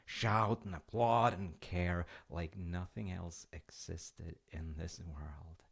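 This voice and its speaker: Slow, deep, gentle dad played by a man. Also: steady tone, vocal fry, breathy edge, full character voice. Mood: fond storytelling. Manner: hesitant, conversational, soothing. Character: gentle, reassuring, slightly goofy dad comforting his child. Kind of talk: intimate roleplay monologue with storytelling.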